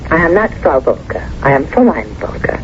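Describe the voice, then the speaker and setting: A woman's voice: sits low, sing-song, fairly quick. Actor as female German executive, forties, radio drama: cool, flirtatious, exact diction, dull recording with faint noise. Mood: formal, teasing. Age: 40s. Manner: formal, precise, coolly flirtatious. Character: poised, teasing German businesswoman of about forty. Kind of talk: 1970s radio drama acting.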